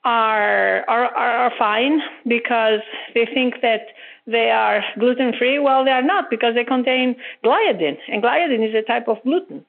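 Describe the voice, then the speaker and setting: A woman from Italy with an Italian accent, calling in with a question and pausing to search for words. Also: on the high side, gravelly. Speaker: caller. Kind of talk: call-in question on a podcast. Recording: tinny phone line.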